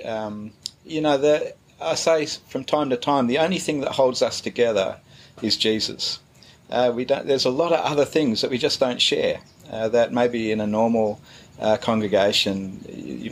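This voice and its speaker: A middle-aged man, a pastor, answering interview questions in a relaxed, hesitant way, with many fillers and pauses. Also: on the low side, a little hiss or hum.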